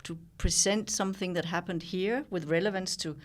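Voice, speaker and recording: Older Danish woman, lowish voice. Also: fairly even intonation. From Denmark. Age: 60s. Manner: thoughtful, with frequent pauses and restarts. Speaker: guest, journalist and founder. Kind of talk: reflective interview conversation.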